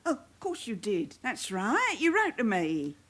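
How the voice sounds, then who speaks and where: An actress playing an anxious, sharp-tongued middle-aged mother of criminal lads, with a working-class London accent, in a radio drama. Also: a little high, wildly swooping pitch, measured pace, exaggerated voice. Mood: worried, pleading. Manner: wary, evasive, scolding.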